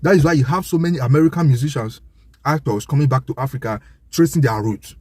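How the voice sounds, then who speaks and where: A man's voice: medium pitch, fast talker, a little mellow, husky, no echo. A Nigerian man speaks in a mid-range voice, delivering informal commentary with conviction. He is assertive and emphatic, restating points for weight. The sound is dry, with little room in it. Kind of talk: impassioned informal monologue to an audience.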